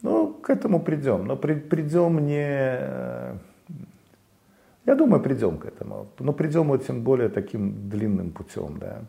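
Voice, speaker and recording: Gravelly man, halting speech. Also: mid-range voice, very animated, warm tone. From Belarus. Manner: halting, full of fillers and restarts. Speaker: interview guest. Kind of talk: casual interview talk.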